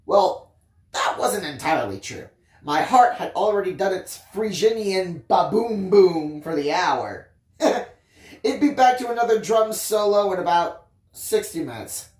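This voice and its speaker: A storyteller reading a first-person tale expressively, with theatrical emphasis and drawn-out phrases. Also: medium pitch, expressive, a little mellow, reverberant. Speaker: audiobook reader, story time host.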